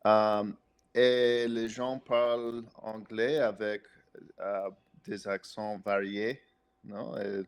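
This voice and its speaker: A man's voice: on the low side, little pitch movement, extremely slow, smooth. A man with an American accent, a native English speaker, speaking as a podcast guest in careful, hesitant conversation full of restarts. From United States. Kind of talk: casual conversation.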